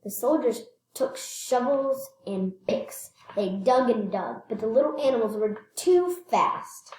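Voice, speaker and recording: High-pitched, animated woman reading a storybook. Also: echoey room, poor audio. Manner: expressive, stresses words, pauses to point out pictures. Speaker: read-aloud storyteller. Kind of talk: animated children's picture book reading.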